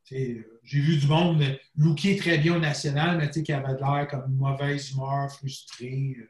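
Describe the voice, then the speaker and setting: A man's voice: mid-range voice, level tone, moderate pace, echoey room. A man, a coach talking in a casual podcast conversation, rambling and reflective and slipping in English phrases. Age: 40s. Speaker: guest, bodybuilding coach.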